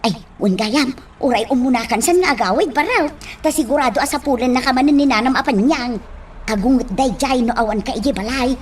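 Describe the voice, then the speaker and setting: An actress playing a fretful middle-aged housekeeper in a radio drama, full of exclamations. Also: female voice, sits high, a little fast, distant mic, natural voice. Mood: worried. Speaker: actor. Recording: faint background noise.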